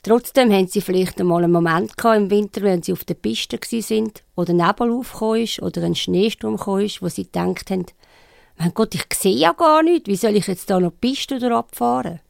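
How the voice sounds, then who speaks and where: A woman's voice: mid-range voice, brisk, gravelly, no room sound, clean studio sound. A woman with a Swiss German accent hosting a conversational interview. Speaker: radio interview host.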